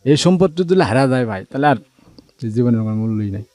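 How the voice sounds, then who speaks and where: A man's voice: mid-range voice, expressive, fast, a little mellow, no echo. A man with a Bangladeshi accent, a radio guest answering plainly in simple, repetitive phrases. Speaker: interview guest telling his life story. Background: music under the voice.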